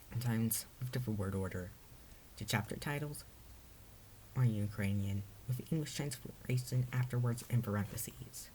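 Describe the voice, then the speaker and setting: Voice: mid-range voice, warm tone, a little hiss or hum, poor audio. A narrator reading their own story aloud in a storybook read-aloud style.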